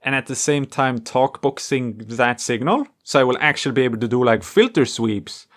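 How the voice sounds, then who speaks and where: A man presenting in a casual, spontaneous way, excitable and playful as he thinks aloud through a demonstration. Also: medium pitch, normal speed. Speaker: host and musician demonstrating homemade experimental gear. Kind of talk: casual spontaneous demo to camera.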